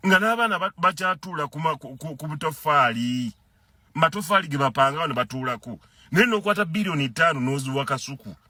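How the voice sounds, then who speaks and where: Heated Ugandan man, animated and husky. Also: a little high, fast talker, no room sound. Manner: animated, repeats words and stumbles when heated. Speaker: concerned citizen commentator. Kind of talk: impassioned political rant to camera.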